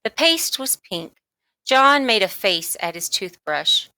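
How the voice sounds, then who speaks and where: Bright-voiced woman reading a children's story. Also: medium pitch, very animated, slow pace, no echo. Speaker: storybook reader. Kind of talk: scripted read-aloud narration for children.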